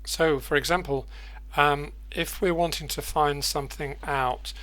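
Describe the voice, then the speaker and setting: A man presenting an unscripted software tutorial, thinking aloud haltingly and repeating words as he goes. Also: fairly high pitch, fairly even intonation. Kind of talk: unscripted screen-share tutorial.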